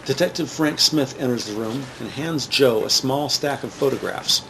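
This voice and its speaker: A man's voice: medium pitch, lively intonation, normal speed, vocal fry, noisy background. A man reading scripted present-tense narration for a crime audio drama.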